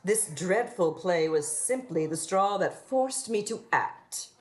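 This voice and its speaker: A woman's voice: mid-range voice, expressive, slow pace. A woman playing a scheming, prim widow in a radio play, with a florid, sanctimonious and affected delivery. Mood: haughty, prim. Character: scheming widow posing as prim, melodramatic moral crusader. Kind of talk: theatrical radio play acting.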